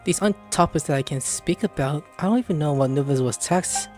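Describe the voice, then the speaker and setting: A man's voice: fairly high pitch, brisk, a little mellow, faint music behind. A man giving casual gameplay commentary, playful and rambling, often stumbling over names. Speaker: gaming video host.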